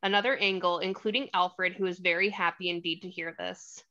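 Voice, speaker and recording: Bright-voiced woman narrating a screenplay. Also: lowish voice, steady tone, a little fast. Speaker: stage directions narrator. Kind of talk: scripted narration, screenplay table read. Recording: slightly dull lo-fi audio.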